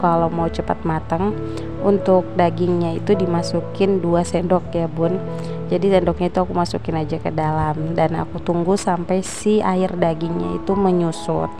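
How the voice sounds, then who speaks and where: A young woman with a hoarse voice from a sore throat, chatting casually as she narrates a cooking vlog voice-over. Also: lowish voice. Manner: chatty, addresses viewers as "Bun". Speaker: vlogger. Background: background music.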